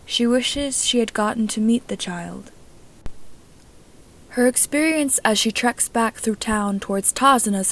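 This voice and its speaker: Female amateur narrator for audio fiction, mid-pitched, reading scripted prose. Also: a little hiss or hum, poor audio. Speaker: amateur podfic narrator reading own story.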